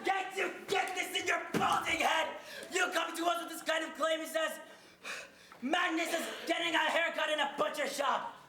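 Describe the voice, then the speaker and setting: A stage performer playing an exasperated, stiffly formal male bank manager who often shouts. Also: sits high, animated, fairly quick, a faint crowd, a little hiss or hum. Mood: shouting. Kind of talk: stage comedy acting. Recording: echoey room.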